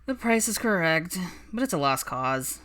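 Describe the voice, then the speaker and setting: A woman's voice: lowish voice, sing-song, normal speed, a bit bright. Woman playing an offhand teenage shop boy. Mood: indifferent. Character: sleepy teenage boy shop clerk, shrugging and indifferent. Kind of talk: acted audiobook dialogue.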